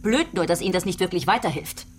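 Woman doing a lady cop in a radio play, lowish voice, pushy and taunting, grilling a suspect. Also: lively intonation, fast talker, close to an everyday voice. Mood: taunting, probing. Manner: probing, taunting, insistent. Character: relentless female police detective, needling a suspect. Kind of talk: radio drama interrogation.

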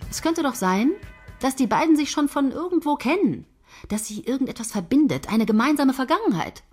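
An actress playing a confident head writer who steers her team in a brisk, patronizing and persuasive manner. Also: high-pitched, sing-song, darkish tone, soft background music. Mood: bossy. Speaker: head of a soap writing team. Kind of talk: radio play dialogue.

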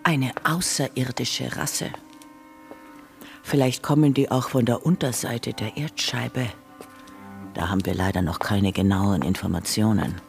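A woman's voice: very deep voice, unhurried, vocal fry, faint music behind, full character voice. An actress playing a stern, formal, commanding woman who believes in conspiracies, with an Austrian accent, in a radio drama. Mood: earnest, secretive. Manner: stern, formal, earnest, imperious. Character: commanding, conspiracy-believing wife leading a separatist group.

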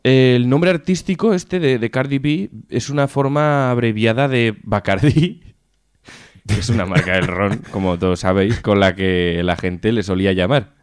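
A man's voice: lowish voice, normal speed, clear, resonant tone, no room sound, clean studio sound. A man with an accent from Spain co-hosts a casual radio chat show in an informal, jokey way, swearing freely. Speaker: co-host of a music and chat show.

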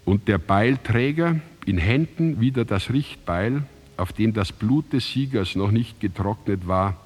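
An actor as the grave narrator of an ancient tragedy in a radio drama, reciting in a measured, solemn, declamatory way. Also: male voice, lowish voice, lively intonation, a little mellow, husky. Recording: music under the voice. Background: music.

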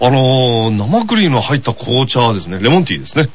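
A man's voice: medium pitch, sing-song, fast talker, husky. A man chatting casually and playfully in comedy banter on a radio show.

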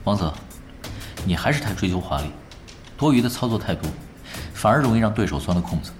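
Casting: male actor as dry, blunt ex-captain in audio drama, low voice with lively inflection over music. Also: a little fast. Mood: calm, critical. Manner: composed, dry, lecturing. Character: unruffled, blunt male esports veteran and ex-captain. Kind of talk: radio drama acting. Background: background music.

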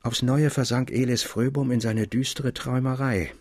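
A man reading a literary story as narrator, articulate and expressive in scripted audiobook style. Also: mid-range voice, normal speed, dry recording, clean studio sound.

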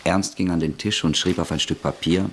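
A man narrating a radio drama in a measured, even manner. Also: low voice, a little hiss or hum. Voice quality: husky, gravelly.

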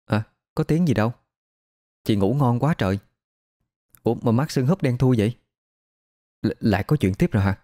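A man voicing an easygoing older-sister neighbor who reassures calmly. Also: medium pitch, normal speed, long pauses, no echo, studio quality, lightly acted.

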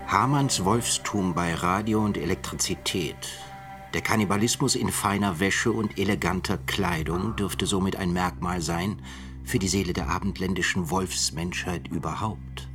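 A male actor plays a scholarly author in a radio play, reading his essay in a low, grave voice. His delivery is formal and rhetorical, with prominent music behind. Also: steady tone, normal speed, lightly acted. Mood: grave, admonishing. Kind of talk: literary reading in radio drama.

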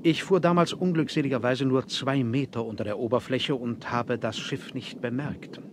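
An actor as a courteous, proud submarine captain, noisy recording. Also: male voice, medium pitch, moderate pace, natural voice. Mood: calm, formal. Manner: formal, polite, self-assured. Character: courteous, proud, mysterious male submarine captain, genius and host. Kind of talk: 1970s German adventure radio play acting. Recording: clearly noisy vinyl record transfer.

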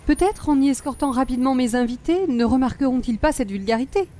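A woman's voice: high voice, fast talker, dark tone, lightly acted. A woman playing an affected, snobbish older hostess with a Quebec French accent and precious, formal diction, in radio comedy style. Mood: fussy, disdainful. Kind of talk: old-time radio comedy acting.

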